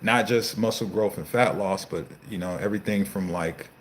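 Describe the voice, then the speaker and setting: A man in his thirties talks through a live Q&A with his viewers, answering fitness questions in loose street slang and dropping curse words as he goes. His voice sits fairly low, and he laughs easily at the comments he reads. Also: normal speed, a little hiss or hum. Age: 30s. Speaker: fitness coach hosting a live Q&A. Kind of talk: casual livestream Q&A, reading viewer comments.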